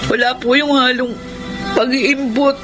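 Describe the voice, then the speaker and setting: An actress playing a devoted but defiant grown daughter, anguished and emotional, in a Filipino radio drama. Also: female voice, sits high, steady tone, a little slow, music in the background. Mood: anguished, resolute. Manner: emotional, pleading.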